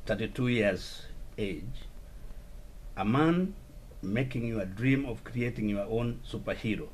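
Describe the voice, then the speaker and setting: A man interviewing a guest in a formal, halting way, often restarting his questions. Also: lowish voice, slight background noise, low-quality recording.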